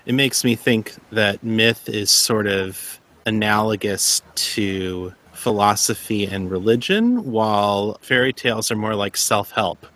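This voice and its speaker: A man's voice: on the low side, unhurried, few pauses, fairly bright tone. A man speaking as a podcast host, interviewing and addressing listeners.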